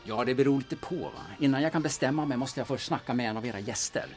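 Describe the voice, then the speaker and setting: A man's voice: medium pitch, soft background music, lightly acted. A man playing a shifty, scheming auction agent in an audio drama, speaking in a hesitant, colloquial way. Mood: hesitant, wary. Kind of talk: audio drama acting with muttered inner monologue.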